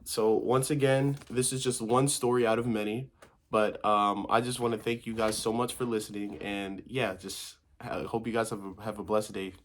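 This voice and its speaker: A man's voice: on the low side, fairly even intonation, normal speed. Man reading a picture book aloud. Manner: informal, stumbles over words while reading. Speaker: volunteer story reader.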